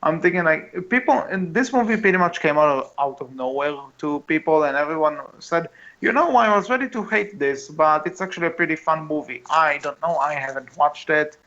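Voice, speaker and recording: A man's voice: fairly high pitch, expressive, brisk, sharp tone. A man hosting a casual film discussion podcast, talking in a hesitant, conversational way as he thinks aloud. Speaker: podcast host. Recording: dull lo-fi voice recording.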